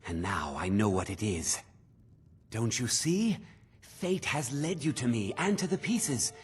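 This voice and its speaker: A man's voice: medium pitch, sing-song, measured pace, husky, exaggerated voice. An actor playing an intense, zealous male collector of cult relics in a fantasy video game, with a portentous manner. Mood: fervent. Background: loud game music.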